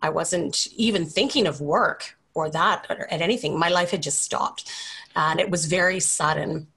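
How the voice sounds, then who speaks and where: Lower-pitched Canadian woman in conversation. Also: normal speed, gravelly, a bit bright, slightly rough. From Calgary, Canada. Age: 40s. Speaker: interview guest. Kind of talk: casual conversational answers.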